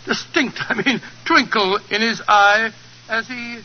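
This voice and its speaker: A man playing a genial older English gentleman telling stories in an avuncular, old-fashioned British manner in a 1940s radio drama. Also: very high-pitched, a little slow, lo-fi sound.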